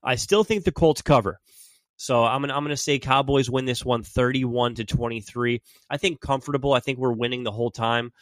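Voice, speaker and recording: A man's voice: mid-range voice, brisk, dry recording. A man hosting a sports podcast while he has a cold, chatting casually and making game predictions as an enthusiastic fan.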